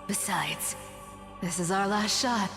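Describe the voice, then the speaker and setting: An actress voicing a sly, playful young demigoddess of trickery in a game, with a taunting, expressive delivery. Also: female voice, mid-range voice, slow. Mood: scheming, confident. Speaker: voice actor in a game. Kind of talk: dramatic game dialogue. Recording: reverberant hall with music underneath.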